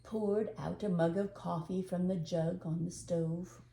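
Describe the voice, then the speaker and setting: An older woman reads as a storyteller with expressive storybook narration. Her voice is warm, mellow and slightly low, recorded in a roomy, echoey space. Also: unhurried, vocal fry, slight background noise.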